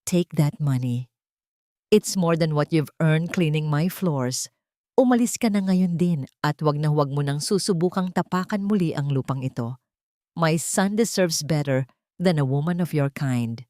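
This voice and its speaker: A woman's voice: deep voice, a little slow, warm tone, dry recording, studio quality. A woman voicing a haughty, elderly rich matriarch in an audio drama, speaking firmly with cold, commanding contempt. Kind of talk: audio drama villain dialogue.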